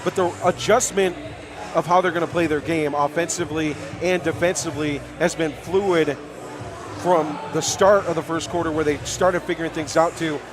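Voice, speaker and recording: A man calling live high school basketball as a play-by-play announcer, upbeat and chatty, projecting his voice from the gym. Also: fairly even intonation, moderate pace. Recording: live courtside gym broadcast.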